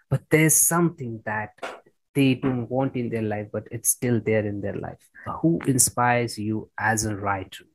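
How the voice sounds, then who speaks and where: A man's voice: mid-range voice, no echo, rough recording. A man hosting a casual interview, encouraging his guest and often repeating and rephrasing himself as he goes. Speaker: interviewer, host.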